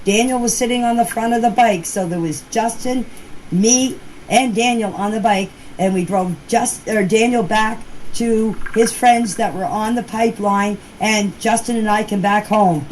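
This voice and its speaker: A woman's voice: a little high, normal speed, darkish tone. A woman speaking informally and emphatically in voice messages, repeating herself for emphasis and using colloquial, non-standard grammar. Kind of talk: informal voice messages answering questions in a group chat. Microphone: voice clips recorded for a group chat. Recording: noisy informal voice-message recording.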